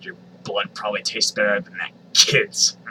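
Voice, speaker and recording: A man's voice: on the low side, flat delivery, normal speed, far from the mic, background noise, voice pushed down, natural voice. A man voicing a menacing, sadistic male killer from a horror story, with a taunting, controlled delivery.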